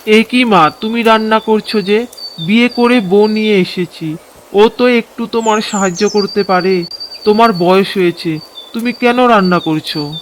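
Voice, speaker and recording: Man acting an earnest young husband, very high-pitched. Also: measured pace, fairly bright tone, a little hiss or hum, poor audio. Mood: indignant. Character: indignant, dutiful young married village son. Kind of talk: Bengali cartoon drama dialogue.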